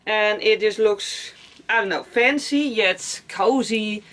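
A woman's voice: mid-range voice, slow, a bit bright. A young woman vlogger chatting casually about her clothes at home, rambling a little with many fillers and repeated words. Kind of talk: casual haul vlog chat. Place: cluttered attic room at home.

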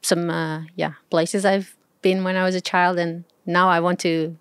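A woman in her thirties with a Portuguese accent talks casually as an interview guest. She speaks softly and pauses often, with frequent small laughs. The sound is close and dry, with no room around it. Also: lowish voice, little pitch movement, clear, resonant tone, dry recording. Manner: soft-spoken, hesitant, laughs often. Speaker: guest, travel blogger.

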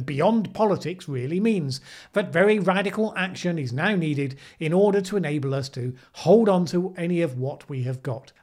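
A man in his fifties presents opinionated news commentary into a close desk microphone. His voice sits a little high, and his manner is sardonic. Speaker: host of a weekly news commentary show. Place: small indoor room, plain backdrop. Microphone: microphone on a desk boom arm.

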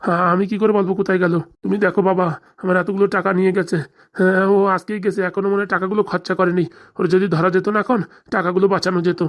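A cartoon actor plays an anxious father near retirement with a rural Bengali accent. He talks extremely fast, rambling on in an aggrieved, lamenting way, like a man forever complaining in a village comedy. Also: mid-range voice, level tone, close to an everyday voice. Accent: Bengali, rural dialect. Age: middle-aged. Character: anxious, aggrieved father nearing retirement, fretting over lost money.

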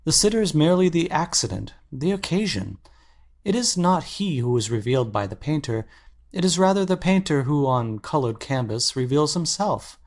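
An actor playing an earnest, anxious painter in a dramatic audiobook, speaking intensely and articulately. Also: male voice, on the high side, big pitch swings, moderate pace. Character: earnest, anxious male painter confessing his obsession.

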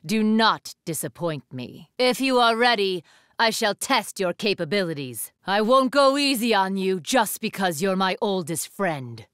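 A woman's voice: mid-range voice, fairly bright tone, smooth, no room sound, clean studio sound. A woman voice actor playing an imperious, iron-willed young warrior woman in an anime game, with a haughty, formal, declamatory delivery. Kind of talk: English anime game voice acting.

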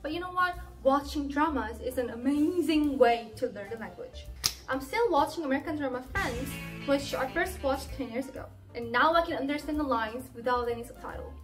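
Young woman, Korean accent, high clear voice, playful upbeat teacher, soft music underneath. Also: lively intonation, brisk. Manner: cheerful and playful, with emphatic exclamations. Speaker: language teaching vlogger. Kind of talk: upbeat direct-to-camera lesson. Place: indoor room by a plain wall.

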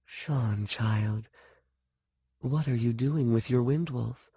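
A man's voice: medium pitch, slow, dark tone, dry recording, studio quality, pitched above the performer's own voice. A man voicing a crazy old sorceress in an audiobook, wheedling and condescending, with a childlike, pleading edge. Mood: drowsy, murmuring. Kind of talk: audiobook character dialogue.

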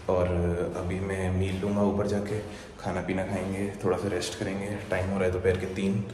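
A man, talking casually to camera as a fitness vlogger and calling viewers brother and friend. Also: deep voice. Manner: chatty, friendly, sprinkles English phrases, calls viewers bhai and yaar. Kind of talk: casual vlog chat to camera. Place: indoor rooms, a gym and a bedroom. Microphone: handheld phone selfie and fixed camera. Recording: roomy echo, faint ambient noise.

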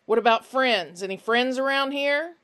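A woman doing Finch, a loud, mocking menacing stranger. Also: high voice, normal speed, voice pushed up. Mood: coaxing. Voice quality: intelligent but unfriendly voice. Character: large menacing stranger man, falsely friendly.

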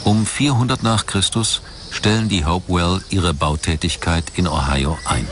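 A man reading scripted documentary narration in a measured, factual manner. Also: sits low, slight background noise. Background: background music.

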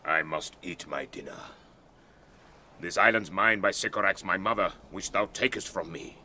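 Man acting a very deep, bitter monster slave. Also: pushed and bright, a little hiss or hum, exaggerated voice. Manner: slow, cursing, brooding delivery. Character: bitter, resentful male island monster slave. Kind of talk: Shakespearean audio drama acting.

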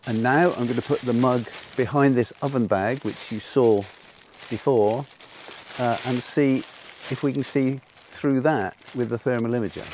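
A man's voice: mid-range voice, fairly even intonation, normal speed, a little mellow, a little hiss or hum, rough recording. An older man with a lapel mic, explaining a demonstration outdoors in a garden in a patient way, with frequent ums. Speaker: solar cooking presenter. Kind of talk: unscripted demonstration.

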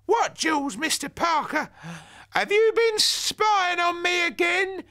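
A man doing a shrill old granny. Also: expressive, a little slow, pushed and bright, exaggerated voice. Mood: indignant. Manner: high, shrill and theatrical. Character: cheeky scheming old grandmother.

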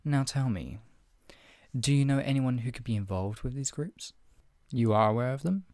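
A man's voice: medium pitch, animated, normal speed, a little mellow, no echo, studio quality, lightly acted. A man playing a stern, menacing redcoat soldier in a scripted roleplay interrogation, with a clipped, authoritative, condescendingly polite manner. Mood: measured, persuasive. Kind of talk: ASMR roleplay, one-sided scripted dialogue.

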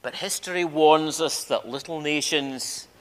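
An older man preaching as a minister, telling a story emphatically with dramatic pauses and repeated phrases in a large church. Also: fairly high pitch. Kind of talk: sermon, storytelling to a congregation. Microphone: lectern microphone. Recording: reverberant church hall.

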